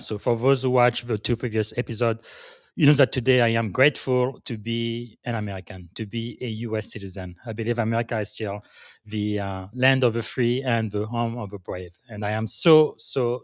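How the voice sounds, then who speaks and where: A man who grew up in the Congo hosting a podcast interview, talking with frequent filler pauses and enthusiastic introductions. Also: mid-range voice, fairly even intonation, dry recording, studio quality. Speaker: podcast host.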